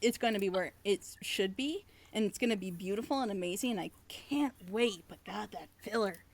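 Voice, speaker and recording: A woman's voice: mid-range voice, lively intonation, normal speed, slight background noise. A woman giving casual critique in a group chat, speaking emphatically and bluntly and acting out imagined reactions. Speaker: reviewer giving feedback on an audio drama.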